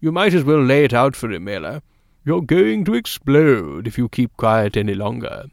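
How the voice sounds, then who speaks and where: Man acting a blunt, forceful-spoken newspaper man. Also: medium pitch, lively intonation, dry recording, studio quality, close to an everyday voice. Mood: dryly amused. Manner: brisk, forthright, emphatic. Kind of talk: audiobook character dialogue.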